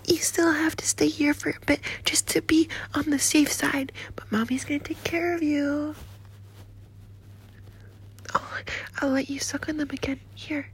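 A woman voicing a doting, flirtatious nurse in a whispered roleplay, with a soft, breathy, slow and coaxing delivery. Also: high voice, fairly even intonation. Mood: whispery, doting. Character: doting, flirtatious female nurse, motherly and teasing.

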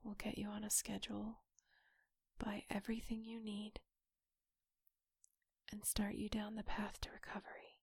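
A woman performing an intimate audio roleplay in a soft, breathy whisper, close to the microphone. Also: mid-range voice, monotone, normal speed, long pauses, no echo.

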